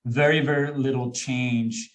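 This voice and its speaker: A man's voice: mid-range voice, fairly even intonation, measured pace, poor audio. A man, an executive recruiter speaking as a webinar guest in a friendly, explanatory way with frequent fillers and restarts over a video call. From Sweden. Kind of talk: webinar presentation.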